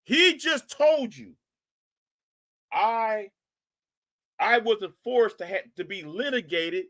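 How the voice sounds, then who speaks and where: Animated man scolding on a live stream. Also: high-pitched, takes it slowly. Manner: animated, repetitive, mocking, scolding. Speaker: live stream host reacting to a video. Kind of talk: reaction commentary to a live chat.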